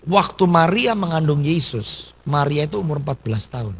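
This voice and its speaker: A man's voice: on the high side, lively intonation, a little fast, breathy edge. A man in his thirties from Indonesia, a pastor telling his life story in a conversational sermon and dropping in English phrases. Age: 30s. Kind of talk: testimony sermon.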